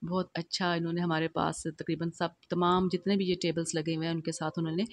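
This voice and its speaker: A middle-aged woman narrates her own vlog over the footage, chatty and homely, leaning on little fillers as she goes. Her voice sits fairly low and stays level, with little rise or fall. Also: little pitch movement, fairly quick, dry recording. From Pakistan. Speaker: vlogger.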